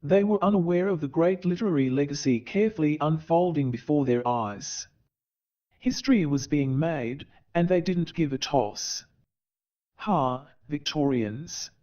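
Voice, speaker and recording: A man playing a theatrical owl narrator who hosts a comic stage play with grandiloquent, wry, deliberate delivery. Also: fairly high pitch, warm tone, muffled recording, natural voice. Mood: wry, scornful. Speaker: narrator and host.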